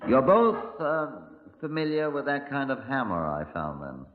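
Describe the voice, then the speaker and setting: An actor playing a brusque, probing Scotland Yard chief inspector with a British accent, clipped and dryly sardonic, in 1950s radio crime drama style. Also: male voice, medium pitch, sing-song, moderate pace, close to an everyday voice. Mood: brisk, commanding. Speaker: police chief inspector and narrator. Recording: muffled, echoey old 1950s radio recording.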